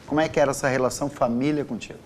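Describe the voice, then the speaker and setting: A man's voice: mid-range voice, steady tone, racing, slight background noise. A man with a southern Brazilian accent hosting a casual interview, inquisitive and prompting his guest with follow-up questions. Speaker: interviewer, host.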